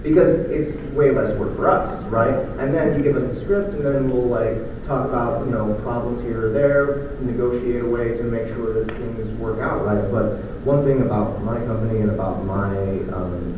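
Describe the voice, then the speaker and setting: A man presenting at a conference, answering questions casually as head of a production company. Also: medium pitch. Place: live conference room. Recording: echoey room, distant, noisy.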